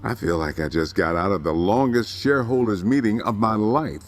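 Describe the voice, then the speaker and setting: An actor playing a seasoned, dryly witty boss of ghost hunters in a video game, composed and wry. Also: male voice, on the low side, moderate pace, close to an everyday voice. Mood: wry, relieved. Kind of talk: video game cutscene acting.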